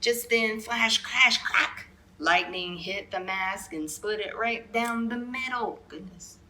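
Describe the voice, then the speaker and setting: Bright-voiced woman reading a children's story. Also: mid-range voice, takes it slowly. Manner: expressive, with brief asides to young listeners. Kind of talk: animated picture-book read-aloud.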